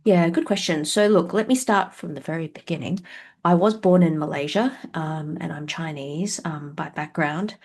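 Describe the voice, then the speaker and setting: A woman's voice: low-pitched, moderate pace, darkish tone. A middle-aged woman from Australia, a company CEO answering interview questions frankly, laughing often and using many fillers over a video call. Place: at home.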